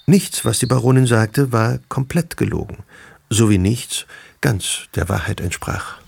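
An actor narrating a literary period radio drama as a composed storyteller. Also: male voice, medium pitch, a little slow, vocal fry, a little mellow, slightly rough, full character voice. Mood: measured narration. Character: composed male storyteller of a period family novel.

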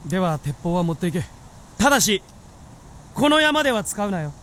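A man acting as a stern but soft-hearted talking fox spirit in a radio drama, with a blunt, commanding way of speaking. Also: sits high, big pitch swings, moderate pace, a little hiss or hum. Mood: gruff, relenting.

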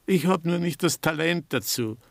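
An actor playing a sardonic, disillusioned doctor in a German radio drama, speaking in a dry, articulate, ironic way. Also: male voice, a little high, lively intonation, normal speed, gravelly, a bit bright, no echo. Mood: bitter irony.

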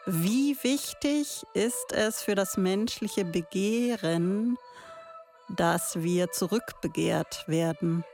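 A woman speaks in a measured, articulate, pointed way, as a co-host in conversation. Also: medium pitch, darkish tone, soft background music. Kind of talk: conversational discussion, posing questions.

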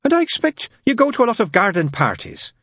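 A man's voice: high-pitched, very animated, fast, fairly bright tone, dry recording, studio quality, pitched above the performer's own voice, full character voice. A man voicing a chatty, flustered, naively cheerful nun and nurse who gushes and witters eagerly, in an audiobook story. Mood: eager small talk.

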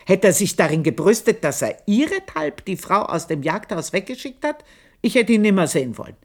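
A woman's voice: mid-range voice, very animated, a little fast, a little mellow. An actress playing an older housemaid who tells her own story in a radio play, speaking in an intense, confiding and scornful way. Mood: bitter confiding. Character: aging housemaid, bitter, proud, long in service.

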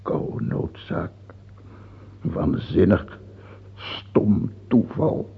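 A dying king in a radio play, brooding and full of dread. His voice is low and full of creak, and he speaks haltingly and extremely slowly. The recording is tinny and narrow. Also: animated, full character voice. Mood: bleak, brooding. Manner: halting, broken, brooding delivery. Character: dying king, anguished, terrified of death, raving. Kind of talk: theatrical radio drama monologue.

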